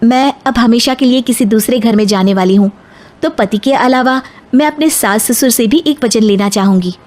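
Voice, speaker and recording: A woman's voice: on the high side, normal speed, darkish tone, lightly acted. A woman voicing a young educated, determined bride who speaks up firmly in a moral story. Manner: protesting, firm.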